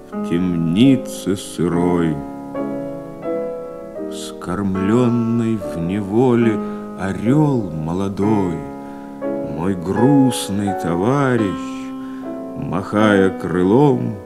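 A man reciting a classic poem as a measured reading. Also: on the low side. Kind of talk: slow poetry recitation.

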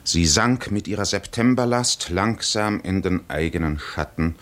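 An actor playing the solemn narrator of an ancient epic in a 1950s radio drama, with deliberate, poetic delivery. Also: male voice, sits low, fairly bright tone.

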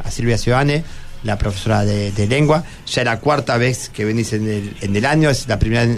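A man with a Rioplatense accent hosting a radio programme off the cuff, often repeating words as he goes. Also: medium pitch. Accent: Rioplatense Argentine.

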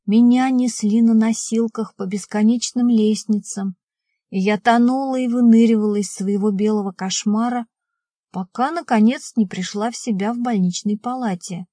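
A woman's voice: on the high side, steady tone, normal speed, warm tone, no room sound. Dry-sounding woman narrating fiction. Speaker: audiobook narrator. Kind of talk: scripted first-person fiction narration.

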